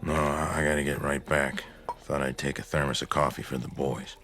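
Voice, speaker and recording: An actor playing a grave, practical small-town husband in a radio drama, speaking tersely and matter-of-factly in a gravelly voice. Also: male voice, very deep voice, level tone, normal speed, over music. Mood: troubled, pondering. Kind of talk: old-time radio drama acting.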